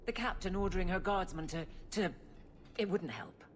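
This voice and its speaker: An actress playing a proud guard captain who is blunt, flustered and halting, awkward about romance, in a video game cutscene. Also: female voice, fairly low pitch, a little hiss or hum, natural voice.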